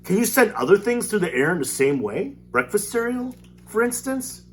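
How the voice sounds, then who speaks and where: Storybook boy, eager and show-offy, pitched way up, loud, read by a man. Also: sing-song, normal speed, husky, exaggerated voice. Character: excitable boastful TV-obsessed boy.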